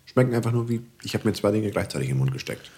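A man's voice: on the low side, expressive, very fast, background noise. A man, a guest in casual podcast banter, talking in a playful, wry, colloquial way.

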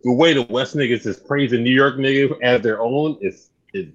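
A man's voice: medium pitch, moderate pace, highs cut off. A man co-hosting a casual podcast, teasing the others and repeating his lines over and over. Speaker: podcast co-host. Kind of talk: casual group banter.

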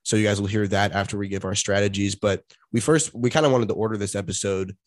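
A congested man co-hosts a casual sports podcast. His voice sits low and barely moves in pitch. The sound is dry, with no room reflections. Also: fast, no room sound. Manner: monotonous tone. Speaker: podcast co-host.

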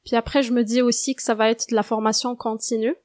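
Higher-pitched young woman. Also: level tone, fast, a little mellow, no echo, clean studio sound. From Algeria. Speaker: podcast guest, international student. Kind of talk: interview answers, conversational.

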